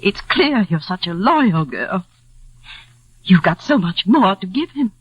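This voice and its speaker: A woman's voice: mid-range voice, sing-song, a little slow, slightly rough, no room sound, muffled recording. A woman playing an anguished, pitying middle-aged mother in theatrical 1940s radio drama style. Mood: tearful pity. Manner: theatrical, emotional. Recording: vintage radio broadcast with music.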